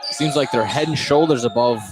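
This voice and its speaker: A man's voice: mid-range voice, fairly quick, heavy background noise. A man working as color commentator on a live basketball broadcast, analysing the play in a conversational way. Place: indoor school basketball gym, live courtside. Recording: crowd-filled live gym broadcast.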